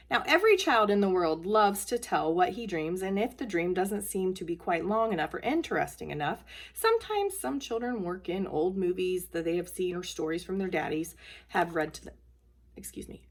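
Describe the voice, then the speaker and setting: Woman narrator for children's storybook read-aloud, expressive, highly varied pitch, a touch of huskiness. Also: breathy edge.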